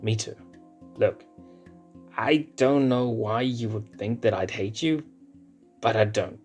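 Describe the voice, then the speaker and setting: A man voicing a brash, defensive young male lion cub prince with a blunt, gruff way of speaking. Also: on the low side, slow, a little mellow, soft background music.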